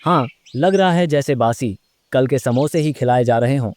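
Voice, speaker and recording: A man's voice: medium pitch, moderate pace, darkish tone, natural voice. A man voicing an indignant village customer complaining in a children's cartoon. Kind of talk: Hindi children's moral-story cartoon dub.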